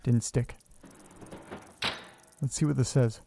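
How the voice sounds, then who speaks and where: An actor playing an anxious, lonely, self-doubting man who talks haltingly to himself in a horror audio drama. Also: medium pitch, fairly even intonation, vocal fry, noisy background. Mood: puzzled, uneasy. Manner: halting, muttering, talking to himself. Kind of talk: audio drama monologue, naturalistic acting.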